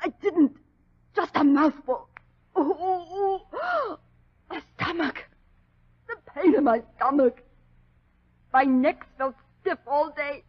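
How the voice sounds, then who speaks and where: A woman's voice: lively intonation, slow pace, pauses often. A woman playing a fat, domineering, hysterical lady wailing melodramatically in pain in a 1940s radio drama. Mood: moaning, agonised. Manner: shrill, melodramatic, bossy. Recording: muffled 1940s radio recording.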